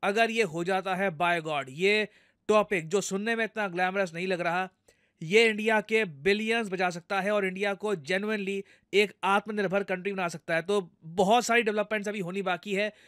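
A man's voice: sits high, steady tone, normal speed, fairly bright tone, dry recording, studio quality. A man with an Indian accent giving explanatory news commentary in a conversational way, addressing viewers directly and going step by step. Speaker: news commentator, video host.

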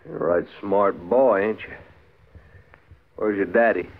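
A man's voice: fairly low pitch, measured pace, a crowd in the background. An actor playing a coolly cruel frontier gunman in a 1950s radio western, drawling mockingly polite threats with an American accent. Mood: cold, mocking. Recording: muffled, phone-like 1950s radio recording.

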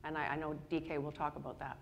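A woman's voice: low-pitched, flat delivery, fast talker, creaky, big-room sound, slight background noise. A middle-aged Canadian woman moderating a conference panel on stage with lapel mic, enthusiastic and upbeat. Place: indoor conference stage with audience.

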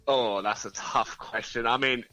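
A man's voice: mid-range voice, steady tone, measured pace, pushed and bright, faint music behind. A man, a band member, chatting easygoingly as a guest in an interview and thinking aloud. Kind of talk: casual interview chat.

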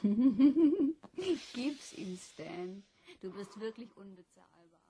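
A woman's voice: on the high side, expressive, extremely slow, dark tone, a little hiss or hum. An actress playing a spirited, protective young woman in a radio play. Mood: amused.

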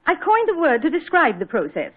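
A woman's voice: high voice, huge pitch swings, brisk. A woman playing a courteous, self-assured scientist with formal, precise, theatrical delivery in an old radio drama. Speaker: radio actor. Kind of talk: 1940s radio acting. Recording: muffled vintage radio broadcast.

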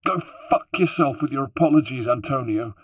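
A man's voice: mid-range voice, expressive, normal speed, husky, dry recording, pitched above the performer's own voice. A man voicing a wounded, foul-mouthed young woman thief who snarls and curses. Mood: furious. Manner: snarling, cursing.

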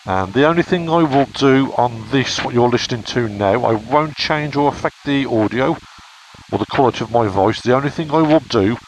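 A man with an English accent and a very deep voice, chattily reviewing a camera for his motorbike vlog through a helmet microphone. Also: moderate pace, slight background noise, rough recording. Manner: chatty, explains as he goes. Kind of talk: casual product review vlog. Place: home and garden, then outdoors by his motorbike. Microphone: helmet microphone of action camera.

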